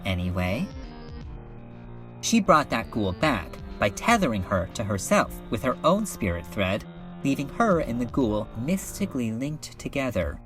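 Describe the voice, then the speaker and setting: A man's voice: medium pitch, very animated, a little slow. A man voicing a slangy, enthusiastic geek who rambles about fanfiction in a webcomic fan dub. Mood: casual storytelling. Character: casual slangy geek excitedly telling and discussing fanfiction. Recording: music under the voice.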